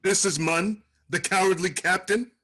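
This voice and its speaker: A woman's voice: fairly low pitch, steady tone, moderate pace, a bit bright, breathy edge. A woman playing a bulky, evil male orc guard with a menacing, mocking manner at a table read. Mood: scornful.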